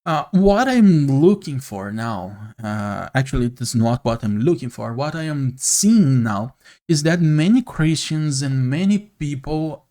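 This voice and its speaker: Slow, earnest Brazilian-accented man. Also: fairly high pitch, darkish tone. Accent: Brazilian Portuguese. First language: Portuguese. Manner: slow, earnest, with frequent pauses and fillers. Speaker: YouTube channel host. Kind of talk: unscripted monologue to camera. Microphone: camera mic, speaking to camera.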